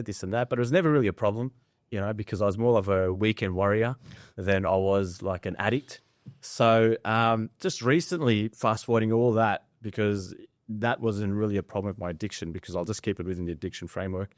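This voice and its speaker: Male, early 40s, from Nepal, low-pitched, casual personal storyteller on a podcast, dry close mic. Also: a little fast, no room sound. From Nepal, later Australia. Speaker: podcast guest.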